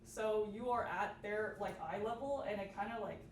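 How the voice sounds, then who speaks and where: A woman's voice: mid-range voice, moderate pace. A young woman giving an informal workshop presentation in a casual manner, sprinkling "like" through her sentences. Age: young adult. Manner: casual, peppered with "like". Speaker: student club workshop presenter. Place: indoor workshop room with audience. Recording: echoey room, distant mic, noisy background.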